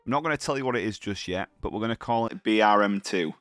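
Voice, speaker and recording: A man's voice: on the low side, animated, fast talker, a bit bright, clear, resonant tone, no echo, studio quality. A man with a heavy cold, talking through his gameplay in a chatty, upbeat way, full of slang. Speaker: gaming channel host. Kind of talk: gameplay commentary.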